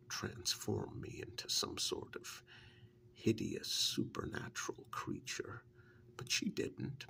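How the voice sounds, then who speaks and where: Witch's assistant, played by a man, for ASMR role-play: half-whispered, very creaky, earnest and wary storyteller. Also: fairly low pitch, fairly bright tone, full character voice. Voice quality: whispery, breathy, gravelly. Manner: hesitant, unhurried first-person storytelling. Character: witch's male assistant recounting his rescue, earnest, wary.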